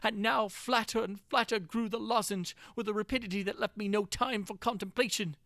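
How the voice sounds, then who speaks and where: A man's voice: sits high, fairly even intonation, a little fast, no echo, studio quality. A man narrating gothic horror as a dramatic reading, with an intense theatrical delivery. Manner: theatrical, intense delivery with big swings from hushed to crying out.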